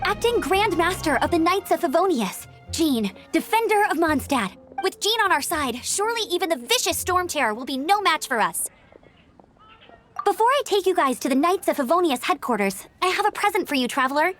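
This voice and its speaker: A woman's voice: extremely high pitch, sing-song, fairly quick, fairly bright tone, heavily acted. A woman voicing an upbeat, dutiful young female knight in a video game, speaking in an earnest, eager and slightly formal way. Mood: eager, friendly. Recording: music behind the voice.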